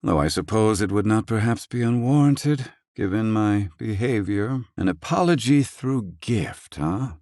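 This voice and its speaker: Low, gruff, proud older man. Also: dry recording. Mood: pensive, grudging. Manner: archaic, haughty, curt phrasing with dry sighs. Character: gruff, proud, curmudgeonly older male doctor-inventor in fantasy. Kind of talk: theatrical video game voice acting.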